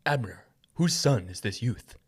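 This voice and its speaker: A man voicing a solemn king and his army commander in a dramatic Bible reading, with stately, drawn-out delivery. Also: medium pitch, lively intonation, breathy edge, pitched above the performer's own voice. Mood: grand, solemn. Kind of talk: slow dramatic scripture reading in character.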